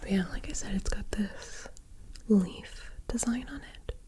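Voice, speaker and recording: A woman rambling in a half-whispered, soft-spoken ASMR style, breathy and unhurried, talking close to a sensitive mic. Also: mid-range voice. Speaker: ASMR creator.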